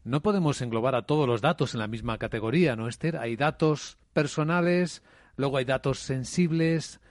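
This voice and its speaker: A man's voice: mid-range voice, normal speed, no room sound, clean studio sound. Man hosting a radio panel. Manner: asks short guiding questions. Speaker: radio host. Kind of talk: moderating a panel.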